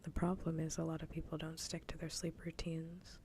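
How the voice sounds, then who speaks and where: Female ASMR streamer, hushed breathy whisper with a dark, crackly tone, conversational, slight background rumble. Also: monotone, fairly quick. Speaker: livestreamer, ASMR host. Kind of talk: whispered ASMR casual chat. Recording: faint low rumble noise.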